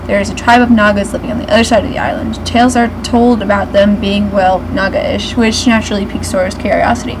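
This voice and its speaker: A woman giving a mocking dramatic reading with snarky asides, halting and stumbling over the words. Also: mid-range voice, fairly even intonation. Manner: sarcastic, halting delivery with frequent stumbles. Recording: noisy home recording with clear background hum.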